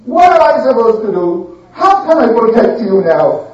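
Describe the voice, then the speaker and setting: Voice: medium pitch, sing-song, normal speed, close to an everyday voice. A storyteller voicing an embattled, protective male prophet with exclamatory, repetitive pleading. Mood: despairing. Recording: echoey room, distant dull mic.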